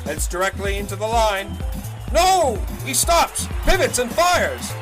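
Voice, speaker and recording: High-pitched excited 1920s radio football announcer. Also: male voice, a little slow, music in the background. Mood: thrilled. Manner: urgent, shouted calls. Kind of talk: old-time radio play-by-play commentary.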